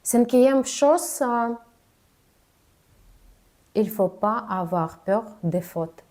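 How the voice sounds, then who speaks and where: Slow, careful woman speaking a second language. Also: a little high, lively intonation, a little mellow. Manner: careful, halting delivery of a non-native speaker. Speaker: communication coach. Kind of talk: spoken advice video.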